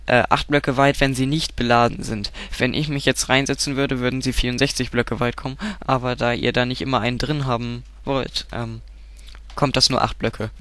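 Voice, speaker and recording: A man with a stuffy, cold-clogged voice talks through a game tutorial at a desk mic. His tone is bright and forward, the sound dry and close, with keyboard clicks behind him. He rambles, fills pauses and keeps correcting himself. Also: mid-range voice, smooth, no echo. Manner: rambling, self-correcting, frequent filler sounds. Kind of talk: casual gameplay tutorial.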